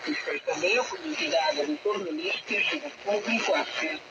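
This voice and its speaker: High-pitched male newsreader through loud shortwave noise. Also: lively intonation, brisk. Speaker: radio news reader. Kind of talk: scripted news narration. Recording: noisy, distant shortwave radio reception. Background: loud radio static and noise.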